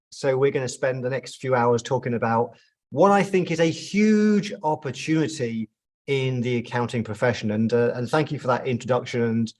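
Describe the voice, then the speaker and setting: British man presenting a training webinar. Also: mid-range voice. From United Kingdom. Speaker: presenter, chartered accountant.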